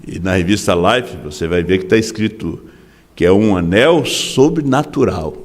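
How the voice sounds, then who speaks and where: A man preaching a sermon as pastor in a Brazilian Portuguese accent, impassioned, swinging from hushed drops to sudden shouts. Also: deep voice, animated, normal speed, long reverb tail. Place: large church hall.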